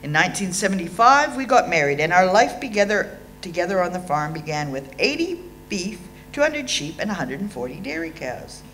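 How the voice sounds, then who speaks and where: An Australian woman in her sixties reads a prepared eulogy with measured, dryly humorous delivery. Her pitch moves up and down in a lively way. The recording is made in a hall, with a faint hum and some noise behind her. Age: 60s. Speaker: eulogy reader at a memorial. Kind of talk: reading a prepared eulogy aloud. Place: indoor hall.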